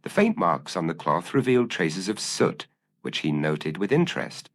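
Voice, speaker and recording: A man with a very deep voice reads a gothic mystery as a storyteller. His delivery is formal and theatrical, with a measured feel and lively swings in pitch. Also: dry recording. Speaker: audiobook narrator. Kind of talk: scripted dramatic gothic mystery narration.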